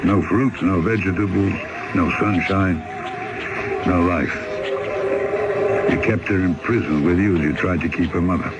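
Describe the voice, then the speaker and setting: A man's voice: low-pitched, a little slow, pauses often, heavy background noise. An actor playing a blunt, earnest male river boatman in a radio drama, first scolding and then coaxing. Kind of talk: 1970s radio drama acting. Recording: dull old radio broadcast. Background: music score.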